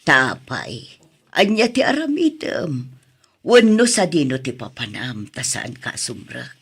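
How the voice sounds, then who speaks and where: A woman as a slow, husky worried Ilocano mother. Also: very deep voice, huge pitch swings, full character voice. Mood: worried, reproving. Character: worried middle-aged mother, gently reproving her grown child. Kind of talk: Ilocano audio drama acting.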